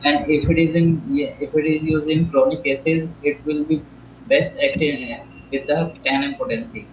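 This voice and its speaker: A man's voice: a little high, steady tone, unhurried, a little hiss or hum. Indian guy explaining stuff earnestly, sounds kinda dull and roomy like a video call. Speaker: homeopath co-host. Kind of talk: explaining to an interviewer.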